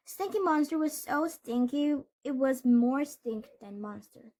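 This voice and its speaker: A woman reading a children's storybook aloud in a deliberate way, with small stumbles and corrections. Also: high-pitched, warm tone. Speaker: read-aloud host.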